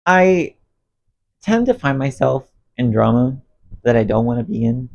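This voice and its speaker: A man's voice: mid-range voice, a little slow, gravelly, highs cut off. A man vlogging a rambling, emotional rant to camera while cleaning his bedroom, congested and losing his voice. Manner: rambling, emotional, self-talk, expressive swings. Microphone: phone on stand under ring light.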